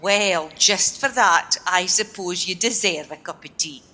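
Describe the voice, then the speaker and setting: A woman playing a feisty, sceptical but kind-hearted working woman in an amateur radio play, brisk and incredulous in manner. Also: lowish voice, pushed and bright. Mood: amused, brisk.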